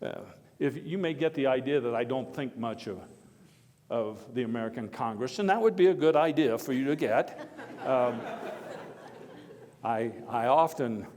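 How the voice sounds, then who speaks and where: An actor playing an earnest, folksy statesman orator of early 1900s America in a theatrical first-person speech, emphatic but given to halting digressions. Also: medium pitch, a little mellow, lightly acted. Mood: wry. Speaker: living-history performer. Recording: echoey hall with long reverb.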